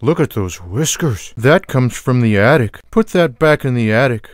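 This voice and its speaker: A man voicing a lazy, sarcastic, food-loving cartoon cat in a video game, with wry, deadpan, drawling one-liners. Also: mid-range voice, normal speed, no room sound, studio quality, close to an everyday voice.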